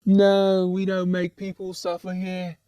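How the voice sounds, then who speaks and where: This is a woman's take on an ordinary demon who is thoroughly at ease in hell. The voice is dark and relaxed, recorded very dry. The talk wanders casually in colloquial turns, with a mild teasing edge, as in a comic puppet show. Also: fairly low pitch, measured pace, clear, resonant tone, no room sound, lightly acted. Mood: mock hurt. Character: laid-back, chatty, ordinary demon lounging in hell.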